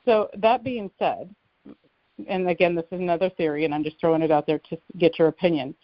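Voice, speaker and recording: Female caller, lowish pitch, earnest explainer, conversational, on a dry, slightly muffled conference line. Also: steady tone, a little fast, no room sound. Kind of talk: casual explanatory call-in talk. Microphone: telephone conference line.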